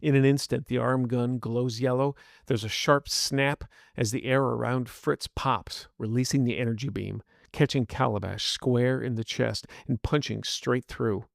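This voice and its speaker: A man narrates as a battered master in a dramatic first-person story. He sounds grim, bitter and worn down. The voice has a gravelly fry and sits very dry and close. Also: mid-range voice, steady tone, measured pace, few pauses, no room sound. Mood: grim, pained. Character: battered, grieving old master narrating his defeat.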